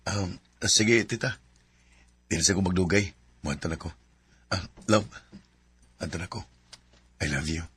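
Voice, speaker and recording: A man's voice: low voice, unhurried, pauses often, fairly bright tone, slightly rough, exaggerated voice. A man playing a devoted male best friend in a radio drama, earnest and pleading. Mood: tender. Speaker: best friend turned suitor.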